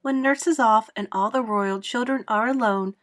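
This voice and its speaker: A woman's voice: a little high, normal speed, natural voice. A woman voicing a cheerful, polite small boy in a storybook, eager and proud, with a lilting delivery. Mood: proud, fond. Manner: eager, lilting, recites in rhyme.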